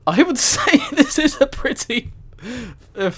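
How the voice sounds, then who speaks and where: Giggly streamer with a very animated voice. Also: sits high, unhurried. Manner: giggly, often breaking into laughter while reading. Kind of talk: live stream, amused reading aloud with casual commentary.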